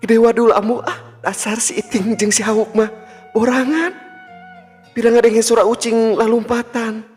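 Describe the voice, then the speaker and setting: Man voicing a brazen high-pitched jinn queen. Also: fairly even intonation, measured pace, a little mellow, breathy edge, heavily acted. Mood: mocking, dismissive. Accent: Sundanese. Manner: curt, dismissive. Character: brazen jinn queen posing as village girl. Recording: long hall reverb with music.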